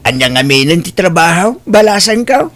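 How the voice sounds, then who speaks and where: A man's voice: sits high, very animated, moderate pace, fairly bright tone. A man acting a jovial, welcoming older father in an audio drama.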